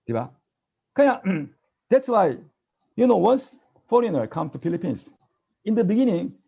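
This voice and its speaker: A middle-aged Korean-accented man lecturing a class with a lively, swooping delivery. His voice is rough with fry and a husky, dark tone. He keeps checking in with tag questions. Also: high voice, dull audio. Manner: animated, tag questions, mimics students' replies. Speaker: language teacher. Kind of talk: classroom lecture mixing English and Tagalog.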